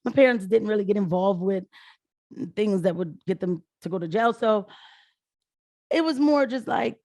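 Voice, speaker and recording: American woman, 30s, hoarse, informal political vlogger, rambling casual delivery, very dry close sound. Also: medium pitch, animated, normal speed, warm tone, no echo. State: hoarse after being sick. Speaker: political video commentator. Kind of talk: casual talk to viewers.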